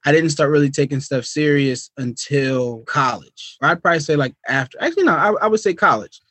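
An American man from New Jersey speaks as an interview guest, with a voice pitched a little high. He tells his story informally, with slang and frequent check-in phrases. The sound is very dry, with no room echo. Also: expressive, a little fast, pushed and bright. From United States, New Jersey. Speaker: podcast guest, real estate investor.

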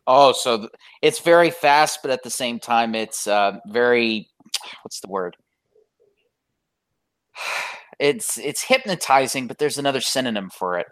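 Animated, rambling American woman. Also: very deep voice, unhurried, pauses often. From United States. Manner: animated, rambling, many restarts. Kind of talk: casual interview chat.

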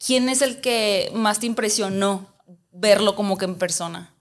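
A woman's voice: mid-range voice, a little slow. Woman, Mexican accent, mid-range voice, interview host, conversational delivery.

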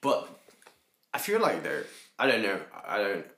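A young man co-hosting a casual podcast chat, lively and questioning, with many fillers. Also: on the low side, unhurried, gravelly. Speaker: podcast co-host. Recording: echoey room.

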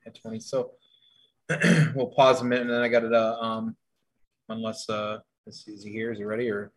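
A man hosting a casual stream, relaxed as he moderates questions for the group. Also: on the low side, normal speed, rough recording. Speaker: stream host asking questions.

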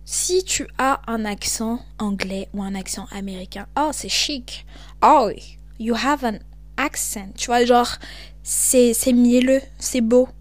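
A young woman from Cameroon with a Cameroonian French accent hosts a podcast, talking in an animated, emphatic way. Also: on the high side, slow. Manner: animated, emphatic, switches into English. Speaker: podcast host. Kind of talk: casual monologue.

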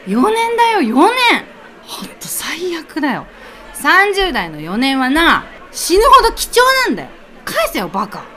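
Woman performing drunk heartbroken thirtysomething woman, radio drama, blunt and dramatic, very animated, bright voice. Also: high-pitched, unhurried, a little hiss or hum, voice pushed up, heavily acted. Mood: drunk, indignant. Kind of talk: amateur radio drama acting.

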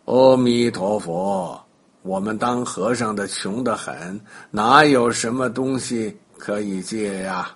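A man doing a sly fake monk, low and slow. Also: breathy edge. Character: monkey disguised as meek monk, sly.

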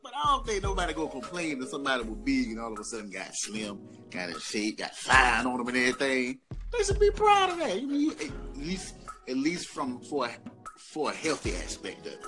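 A man hosting a podcast, chatting casually with an animated, hyped-up delivery. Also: mid-range voice, brisk, over music. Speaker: podcast host.

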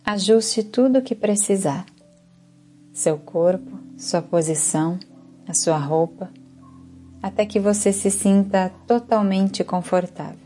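A woman with a Brazilian Portuguese accent leads a guided yoga nidra relaxation as the instructor, speaking in a calm, soothing, unhurried way. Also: mid-range voice, soft background music.

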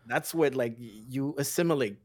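A man's voice: mid-range voice, moderate pace. A man, a podcast guest chatting casually, often restarting and repeating words. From Haiti. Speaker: podcast guest, streaming coach.

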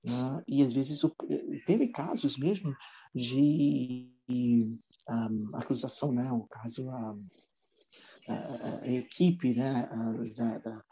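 A man who teaches English, talking casually in an interview and often pausing on fillers and restarts. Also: mid-range voice, dark tone, low-quality recording. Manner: hesitant, many fillers and restarts.

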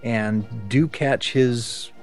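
A man hosts a podcast in a casual, conversational style. He muses wryly in a middle-pitched voice, with music clearly present in the recording. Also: drawn-out words, a little mellow. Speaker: podcast host.

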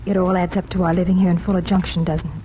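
Refined, earnest schoolteacher played by an actress, faint noise. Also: female voice, lowish voice, flat delivery, racing, mellow, natural voice. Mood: earnest, pained. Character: young schoolteacher, refined, earnest, torn in love. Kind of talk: 1940s radio acting. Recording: faintly noisy vintage radio recording.

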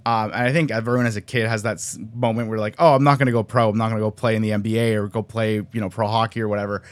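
A man in his twenties from Canada, a guest on a podcast, talking in a quick, chatty way with lots of fillers. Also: on the low side, little pitch movement. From Canada, raised near Toronto; born in Colombia. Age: 20s. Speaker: podcast guest, sports cinematographer. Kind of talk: casual interview chat.

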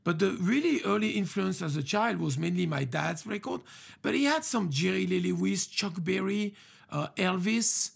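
A man's voice: high-pitched, lively intonation, moderate pace, vocal fry, slightly rough. A man with a French accent, hosting a casual interview and talking with many hesitations and restarts. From France.

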